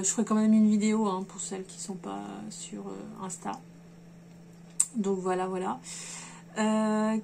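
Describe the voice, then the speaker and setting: Woman sewing vlogger, mid-pitched, chatty and halting, noisy home recording. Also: gravelly, mellow. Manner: rambling, hesitant, many fillers and restarts. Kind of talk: casual chat to camera.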